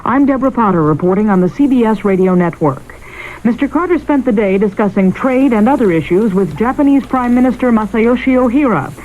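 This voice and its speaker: A woman's voice: a little high, expressive, brisk, darkish tone, low-quality recording. American woman reading radio news, faint noise.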